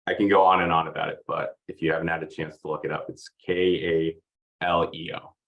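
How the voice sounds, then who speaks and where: A man's voice: low-pitched, level tone, a little fast, muffled recording. A young man from Oregon, a podcast guest chatting casually with many fillers. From United States, Oregon. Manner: easygoing, hesitant with many fillers. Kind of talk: casual interview chat.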